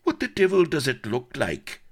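A man's voice: on the low side, very animated, unhurried, vocal fry, bright, forceful tone, pitched above the performer's own voice, exaggerated voice. A man voicing a sarcastic, anguished rogue of a colonel held captive, groaning out archaic oaths and insults in an audiobook story. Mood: sarcastic, furious. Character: hanging roguish Colonel, sarcastic, anguished, bombastic pirate captive.

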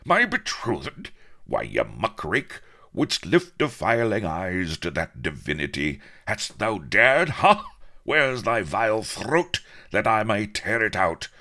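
A man's voice: rumbling low, lively intonation, slow pace, vocal fry, fairly bright tone, exaggerated voice. A man voicing a haughty, hot-tempered upper-class young English captain with pompous, archaic speech in a comic audiobook. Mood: outraged.

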